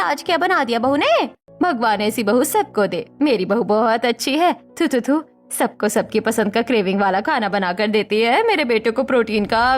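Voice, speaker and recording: A woman's voice: on the high side, natural voice. A woman playing a stingy, folksy older neighbour aunt who gushes and makes demands in a Hindi story drama. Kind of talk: Hindi audio story dialogue acting.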